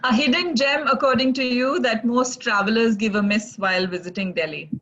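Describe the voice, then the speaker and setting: A woman's voice: a little high, brisk, a bit bright, dull audio. A woman interviewer asking short, polite questions. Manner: brief, polite prompts.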